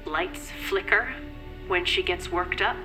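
A woman's voice: on the low side, over music. A woman playing an anxious, grieving middle-aged mother in an audio drama, polite and hesitant. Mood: worried. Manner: hesitant, careful, halting. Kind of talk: naturalistic audio drama acting.

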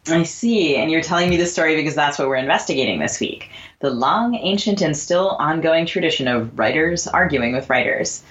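A woman's voice: low voice, expressive, fairly quick, few pauses, a bit bright. A woman co-hosting a podcast, articulate and polished as she introduces guests and asks questions. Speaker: podcast co-host. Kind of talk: scripted introductions and interview questions.

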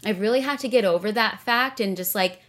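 A woman's voice: medium pitch, a little fast, a bit bright. Chatty young woman, podcast guest. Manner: chatty, many fillers, earnest. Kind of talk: casual interview conversation.

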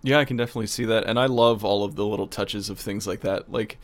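A man talking casually as an audio producer in a relaxed-format, behind-the-scenes conversation. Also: fairly low pitch, fast.

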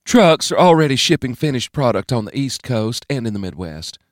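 A man doing a calm Southern-drawling woman official. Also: medium pitch, brisk, no echo, studio quality, voice pushed up, natural voice. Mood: calm, reporting. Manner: calm, matter-of-fact reporting. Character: unflappable Southern woman official, former governor.

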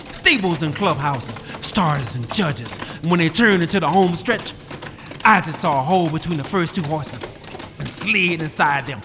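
A male storyteller narrating with a rhythmic, building cadence. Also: a little high. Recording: reverberant space with music behind.

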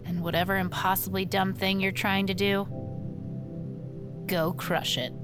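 An actress playing a skeptical but good-humored bartender in an audio drama, speaking in a casual, dry, teasing way. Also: female voice, medium pitch, level tone, measured pace, over loud music, lightly acted. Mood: fond. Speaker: supporting character. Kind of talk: naturalistic audio drama dialogue.

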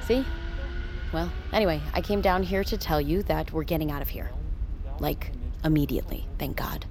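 A woman's voice: sits low, moderate pace, music in the background. An actress playing a determined but shaken woman confronting a ship's crew in an audio drama. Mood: hurried. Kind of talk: audio fiction drama acting.

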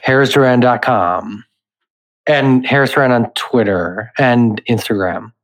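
A man's voice: medium pitch, measured pace, low-quality recording. A man speaking casually as a guest in a podcast interview.

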